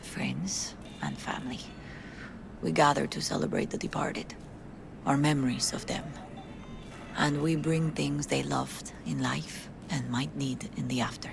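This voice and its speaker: Game role: bereaved Mexican mama, middle-aged, warm and dignified, fry in the voice, noisy ambience. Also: female voice, deep voice, big pitch swings, a little slow, full character voice. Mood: tender, subdued. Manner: gentle, maternal, slips into Spanish endearments. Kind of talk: cinematic video game acting.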